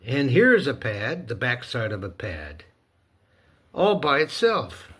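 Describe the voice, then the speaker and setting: Man, unhurried DIY video host, mid-pitched voice, folksy and playful, casual how-to delivery. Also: big pitch swings, a bit bright, breathy edge. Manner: folksy, playful, talks himself through steps. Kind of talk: casual hands-on tutorial narration.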